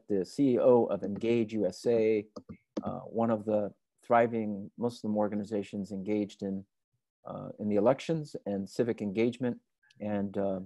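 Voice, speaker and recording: A man hosting a webinar from home over a video call, asking questions in a friendly, relaxed way with many fillers. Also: on the low side, no echo. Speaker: webinar host. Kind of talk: hosted webinar interview. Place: home room with window shutters.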